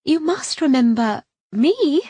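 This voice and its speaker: Woman voicing a gushing, high-pitched chatterbox. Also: sing-song, slow, warm tone, no room sound, exaggerated voice. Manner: effusive, high and sing-song. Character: gushing, chattering young woman milliner, showy.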